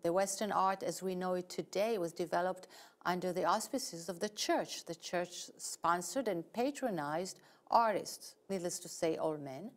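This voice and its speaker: A novelist from Israel with an Israeli accent, a woman explaining her work in an interview in a measured, precise way. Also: lowish voice. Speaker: guest novelist. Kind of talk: interview answers.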